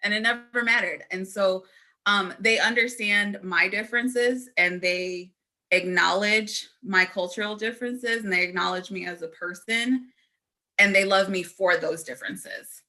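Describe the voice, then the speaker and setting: Bright-voiced woman panelist, earnest and halting. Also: mid-range voice. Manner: earnest, frequent ums, soft laughs. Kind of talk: personal story on a panel.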